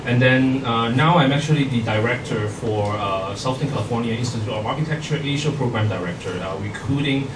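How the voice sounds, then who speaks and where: A middle-aged man from Hong Kong giving a workshop presentation, speaking haltingly with many fillers and restarts. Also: medium pitch. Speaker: guest speaker. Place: conference hall. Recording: echoey hall, far from mic, noisy.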